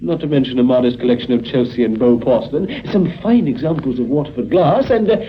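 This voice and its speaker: A man playing a brisk, animated English gentleman burglar. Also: medium pitch, close to an everyday voice. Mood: refined, discursive. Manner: genteel, elaborately wordy, polite. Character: urbane, refined English gentleman burglar with expensive taste. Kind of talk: 1950s radio drama acting. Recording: dull vintage 1950s radio recording.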